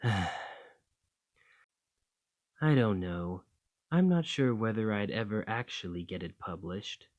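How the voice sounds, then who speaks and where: Bashful romantic dragon, played by a man, ASMR roleplay: unhurried, lowish voice, doting, hesitant, tender. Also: animated. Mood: flustered, embarrassed. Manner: flustered, hesitant, tender, with sighs and stammers.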